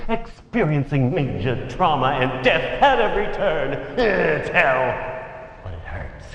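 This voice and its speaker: An actor playing a smug, cynical, wisecracking host of a brutal futuristic game show in a video game. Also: male voice, fairly high pitch, a bit bright, breathy edge, full character voice. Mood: showy, sardonic. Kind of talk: over-the-top TV game show presenting.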